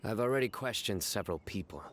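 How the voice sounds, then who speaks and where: A man voicing a stern, calm and quietly menacing young lawman in a video game, speaking in a measured, formal way. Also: lowish voice, natural voice. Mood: reserved, formal.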